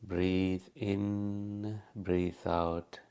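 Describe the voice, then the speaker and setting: A man with a low, gravelly voice guides a meditation at an extremely slow pace. He speaks calmly as a teacher would, leaving long pauses between short phrases, and his tone stays quite even. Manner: calm, unhurried, with long pauses.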